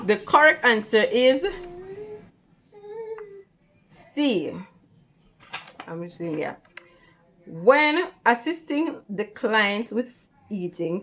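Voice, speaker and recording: A woman tutor giving an instructional read-along lesson, reading out material deliberately, step by step, in short phrases. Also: mid-range voice, muffled recording. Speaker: nursing assistant tutor.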